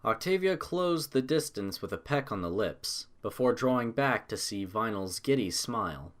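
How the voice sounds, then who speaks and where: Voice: mid-range voice, expressive, moderate pace. A narrator reading a scripted story aloud in the third person.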